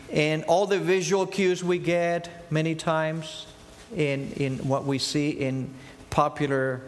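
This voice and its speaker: A man in his fifties with an Argentine Spanish accent delivers an earnest sermon. His voice sits a little higher than most men's. He poses rhetorical questions and answers them, and his words trail off into a long hall reverb. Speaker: preacher.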